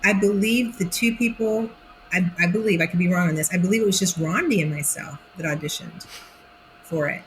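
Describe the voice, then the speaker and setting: An actress guest from Oklahoma, speaking in a casual interview with a faint Oklahoma accent and telling stories with lively acted-out quotes. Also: female voice, lowish voice, brisk, slight background noise, low-quality recording. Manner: animated storytelling, acts out quotes.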